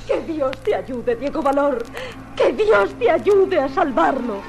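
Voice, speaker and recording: A woman's voice: high voice, expressive, slow, full character voice. An actress playing a distraught mother pleading and wailing for help, in melodramatic 1950s radio serial style. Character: distraught mother screaming for her kidnapped son. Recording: vintage radio drama with loud music.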